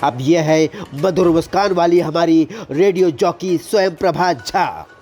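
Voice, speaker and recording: A man's voice: on the high side, unhurried, a little mellow, soft background music. A man making scripted announcements to introduce radio presenters.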